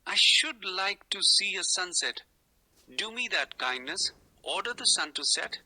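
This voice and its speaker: A woman's voice: fairly low pitch, expressive, unhurried, bright, forceful tone, exaggerated voice, rough recording. A woman voicing a timid little prince in a storybook, a polite and curious young boy speaking earnestly. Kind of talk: storybook character voice.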